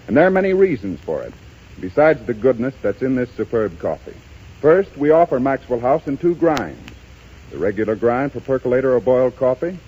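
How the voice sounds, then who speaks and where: A man's voice: mid-range voice, mellow. An American man announcing a scripted 1930s radio advertisement in a persuasive, emphatic way. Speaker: commercial announcer. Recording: muffled, rough 1930s radio recording.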